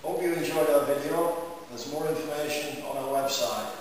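A man's voice: a little high, moderate pace. Older man teaching in an echoey hall. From England. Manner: loose, chatty, keeps checking with "yeah?". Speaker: karate instructor. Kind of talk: teaching a class. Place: large echoey indoor dojo. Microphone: far camera. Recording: echoey hall from far off, noisy.

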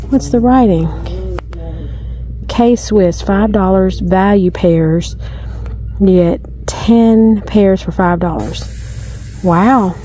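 A middle-aged woman filming herself shopping in a large store, chatting casually and getting excited over finds as she goes. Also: medium pitch, poor audio. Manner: chatty, easily excited, thinking aloud. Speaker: shopping vlogger. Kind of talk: casual shop-with-me narration. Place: inside a large discount store. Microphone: handheld phone camera filming her hands.

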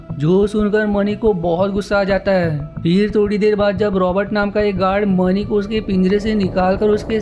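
Guy with a high voice doing a movie recap voice-over, soft music underneath. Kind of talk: scripted movie recap narration.

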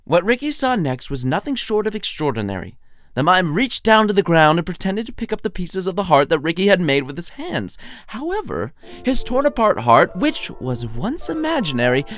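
A man's voice: sits high, fairly bright tone. A man narrating a scripted story in a playful, wry, theatrical storytelling manner. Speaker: narrator and author.

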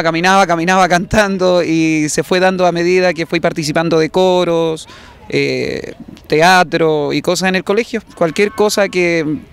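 A young Chilean man speaks in a smooth, fairly high voice. He is a singer giving a casual interview in an open plaza, picked up on a handheld reporter's mic. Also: a bit bright. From southern Chile. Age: young adult. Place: outdoors in an open public plaza. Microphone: handheld reporter microphone held by interviewer.

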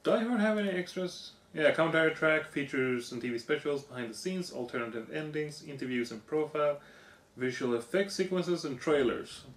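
Hoarse young man in an echoey room. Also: fairly high pitch, a little fast, a little hiss or hum. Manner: reads off lists, offhand comments. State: hoarse, losing his voice. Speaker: vlogger. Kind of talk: casual unboxing chat. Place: living room couch, indoors.

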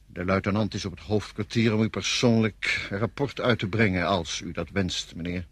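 An actor playing a soldier in a radio drama, reporting to his superior with hesitant, formal deference in a husky voice. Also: low voice, fairly even intonation, normal speed, a bit bright, no echo, full character voice. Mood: dutiful reporting. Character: male army subordinate reporting to his superior.

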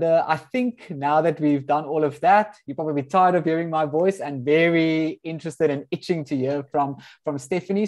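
A man's voice: fairly high pitch, darkish tone. A man whose first language is Afrikaans, hosting an online product talk over a video call in a friendly way with many ums. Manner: friendly, filler-heavy with frequent ums. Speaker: host. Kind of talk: webinar introduction.

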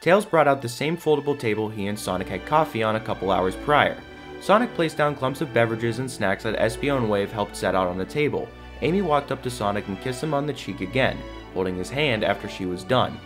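A man's voice: medium pitch, lively intonation, fairly quick, natural voice. A man reading scripted third-person narration for a fan audio drama. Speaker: narrator and lead actor. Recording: music under the voice.

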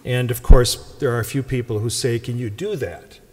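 Older male pastor, Upper Midwest American accent, wry and chatty at a lectern mic, mid-pitched, long church reverb. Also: normal speed, a little mellow. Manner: wry, conversational, joking. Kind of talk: Gospel reading and pastor's welcome remarks. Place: large church sanctuary. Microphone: lectern microphone.

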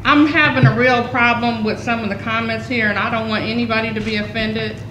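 A woman in her fifties, a committee member, speaking emphatically at a public meeting and repeating her points. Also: fairly high pitch. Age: 50s. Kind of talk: impassioned public meeting remarks. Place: large community center meeting room. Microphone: table microphone. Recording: echoey hall, faint room noise.

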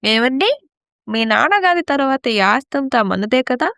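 A woman playing an arrogant, scheming young daughter-in-law in a moral story drama, sharp-tongued and complaining. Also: high voice, very animated, a little slow, no room sound, studio quality, exaggerated voice. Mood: coaxing, scheming.

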